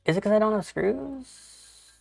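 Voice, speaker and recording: A man's voice: high-pitched, animated, unhurried, gravelly, a little mellow, no room sound, faint music behind. Casual rambling man in his thirties streaming. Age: 30s. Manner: rambling, casual, swears, talks to chat. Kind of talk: casual live-stream chat while gaming.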